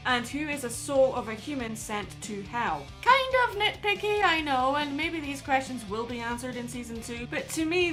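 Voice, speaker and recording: A woman delivering scripted opinion commentary as a video essayist, in a measured way with conversational asides. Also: on the high side, fairly bright tone. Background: background music.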